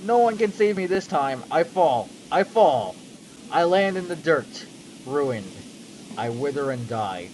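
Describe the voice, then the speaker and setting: A man's voice: a little high, sing-song, a little slow, a little mellow, a little hiss or hum, rough recording. A young man giving a mock-dramatic reading of a fanfic on a livestream, with a theatrical, sweeping storyteller delivery. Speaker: reader on a livestream.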